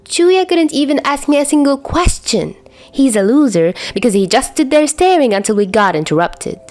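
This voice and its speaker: A woman's voice: sits high, sing-song, moderate pace, darkish tone, voice pushed up. A woman voicing a dramatic, smugly teasing teenage schoolboy in an audiobook-style story. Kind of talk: audiobook-style fan fiction dialogue.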